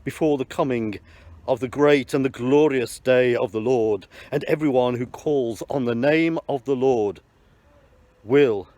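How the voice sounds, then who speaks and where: A man reading the apostle Peter's speech to a crowd, earnest and steady. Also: medium pitch, unhurried, dry recording, lightly acted. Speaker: Bible reader. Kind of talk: scripture reading.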